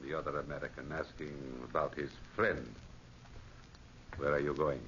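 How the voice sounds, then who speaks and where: A man playing a curt, gruff, threatening tavern bartender in a 1940s radio drama. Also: rumbling low, level tone, moderate pace, a bit bright. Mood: suspicious. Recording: muffled, noisy 1940s radio recording.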